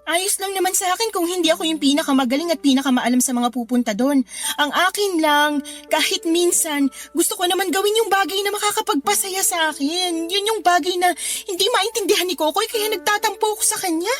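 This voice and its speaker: Plaintive, very high-voiced housewife. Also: female voice, fairly quick, a bit bright, faint music behind. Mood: sullen, hurt. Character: hurt, self-doubting young housewife and mother. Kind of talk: Tagalog radio drama acting.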